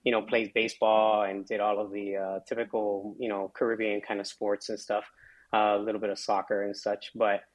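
A man's voice: fairly low pitch, flat delivery, fairly quick, rough recording. A middle-aged man from South Florida, a physician associate, answering interview questions in a conversational way, full of fillers like "um" and "you know". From South Florida, United States. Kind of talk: interview answers, life story.

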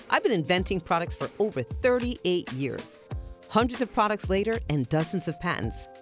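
Lowish, animated woman over music. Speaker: inventor, business owner. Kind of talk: scripted radio advertisement.

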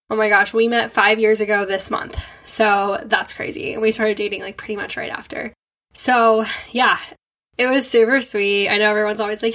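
A woman's voice: mid-range voice, sharp tone. Young female host, congested and nasal, bubbly casual talker. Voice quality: nasal, stuffy. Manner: bubbly, giggly, rambling with lots of "like". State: congested from a head cold. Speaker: podcast host. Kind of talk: casual chatty monologue.

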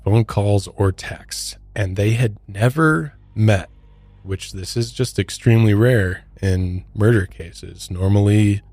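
A man with a low-pitched voice, recorded close and dry with hardly any room sound. He talks as a podcast host, part reading out an account and part chatting it through. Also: takes it slowly, darkish tone, no room sound. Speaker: true crime podcast host. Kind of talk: recounting a case, part scripted narration, part casual discussion.

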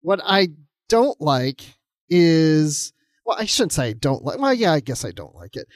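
Man, podcast co-host, somewhat high pitch, casual, opinionated, conversational, dry recording. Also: sing-song, measured pace, no echo.

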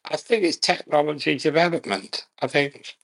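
A man speaking as a guest in an interview, measured and unhurried, speaking in short phrases with pauses. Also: a little high, animated, gravelly, fairly bright tone. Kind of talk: conversational interview.

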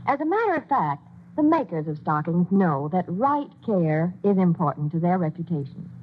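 A woman's voice: fairly low pitch, sing-song, moderate pace, a little hiss or hum. A woman giving a chatty, persuasive scripted ad spot on an old radio show, heard as a tinny broadcast. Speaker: commercial spokeswoman.

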